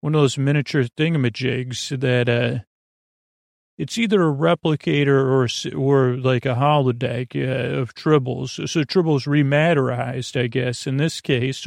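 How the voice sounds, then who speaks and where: A man hosting a podcast in a whimsical, meandering monologue, halting and often repeating or correcting himself, with a creaky, gravelly voice. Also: medium pitch, dry recording, studio quality. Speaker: podcast host. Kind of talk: rambling, whimsical bedtime monologue.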